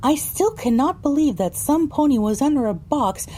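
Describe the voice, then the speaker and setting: A woman's voice: high-pitched, animated, moderate pace, mellow. A woman narrating a first-person story for an audio drama, reading descriptively with dramatic phrasing.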